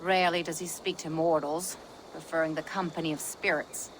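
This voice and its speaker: A woman's voice: low-pitched, flat delivery, a little fast, slight background noise, close to an everyday voice, low-quality recording. An actress voicing a composed, cautious village mother who speaks politely in a video game. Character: composed, wary village mother with local knowledge. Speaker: game character. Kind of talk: video game cutscene acting.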